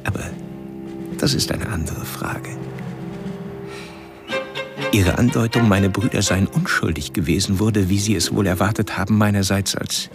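Radio crime drama: male actor as calmly deranged killer, low gravelly voice, pedantic and taunting, music underneath. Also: natural voice. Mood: mocking, measured. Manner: formal, pedantic, halting, with whispered passages. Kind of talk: recorded taunting monologue in a radio play.

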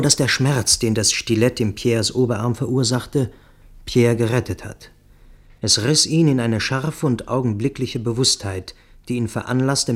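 Guy playing serious first person narrator in a radio play, mid pitch man, measured n deliberate. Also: natural voice. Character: grave male witness recounting a deadly night attack.

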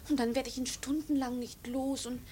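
An actress playing a timid, agitated young woman in a radio drama, speaking earnestly with a breathy, hesitant manner. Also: high voice, steady tone, normal speed, warm tone, slight background noise, lightly acted. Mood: anxious. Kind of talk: German radio drama acting.

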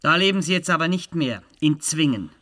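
An actor playing a condescending official who questions an applicant in a clipped, probing way in a German radio play. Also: male voice, a little high, expressive, fairly quick, bright, forceful tone, no room sound. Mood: interrogating.

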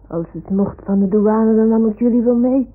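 An actress playing a confident, patient young child who talks in a matter-of-fact, reassuring way in an old radio play. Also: female voice, a little high, moderate pace, natural voice. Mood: confiding. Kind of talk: 1960s-70s Dutch radio play acting. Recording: muffled, phone-like old radio recording.

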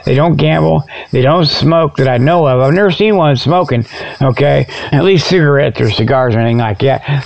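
A man's voice: fairly high pitch, creaky, darkish tone, dull audio. A man hosting a comedy show with a rambling, tongue-in-cheek monologue, folksy and colloquial, drifting off on tangents. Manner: folksy, colloquial grammar, digressive.

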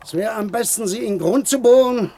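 An actor playing a grumbling, cautious sea dog on a pirate ship in a radio play, blunt in manner. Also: male voice, sits high, moderate pace, dark tone. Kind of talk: German radio play acting.

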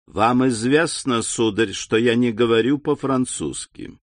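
A man reading the lines of a phlegmatic, stiff English lord, slow and aloof in the dry sound of a close studio mic. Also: medium pitch, no echo, lightly acted.

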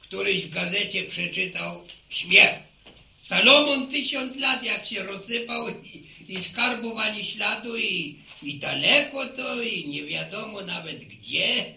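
Old village grandfather, very creaky, folksy and soothing, roomy radio-drama sound. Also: high voice, lively intonation, slow, sharp tone, full character voice. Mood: reflective. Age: older. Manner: chuckling, repeats words, rustic sayings. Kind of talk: naturalistic radio drama acting. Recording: roomy radio drama recording, faint noise.

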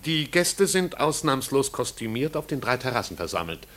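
A man's voice: medium pitch, big pitch swings, moderate pace, fairly bright tone. An actor playing a dry, deferential manservant who reports precisely and formally to his master, in 1950s German radio drama style. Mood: dry, dutiful.

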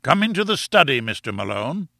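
Big blustering professor, performed by a male narrator for a storybook: pompous, mocking bully, forceful boom, widely swinging pitch. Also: sing-song, a little fast, gravelly, dry recording, clean studio sound, exaggerated voice. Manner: booming, rumbling, pompous. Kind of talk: audiobook character acting.

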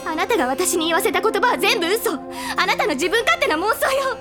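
A fragile teenage girl bent on revenge, in a high voice. She is bitter and emotionally raw, in audio drama style over clear background music. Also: lively intonation, fairly quick. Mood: mocking, hateful. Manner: intense, venomous, emotionally raw. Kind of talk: Japanese audio drama acting.

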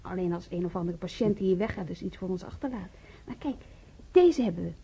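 An actress playing a cheerful, obliging young nurse in a radio drama, chatty and eager. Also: female voice, lowish voice, animated, a little fast, gravelly, warm tone, husky, heavily acted. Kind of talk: naturalistic radio drama acting. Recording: dull, muffled old radio recording.

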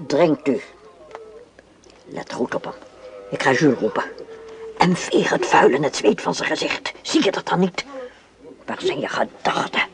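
A woman's voice: sits low, big pitch swings, measured pace, long pauses, full character voice. An actress playing a stern, scolding older mother-in-law in a radio drama. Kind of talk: Dutch radio drama acting.

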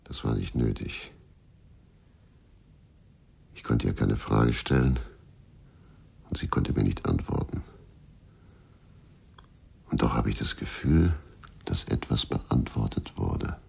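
An actor playing a brooding, weary male ex-policeman in a radio crime drama, gravelly, sombre and measured. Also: extremely low pitch, a little mellow, full character voice. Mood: bitter, weary. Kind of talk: radio crime drama, introspective narration and dialogue.

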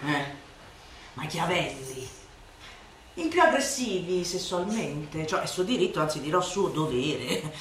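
A woman's voice: low voice, very animated, unhurried. A woman performing a theatrical satirical monologue as a scornful, vehement orator, declaiming in the manner of a harangue. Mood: mocking, sardonic. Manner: declamatory, swinging from hushed sneering to shouted outbursts. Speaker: stage and radio actor. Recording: echoey hall, distant mic, faint noise.

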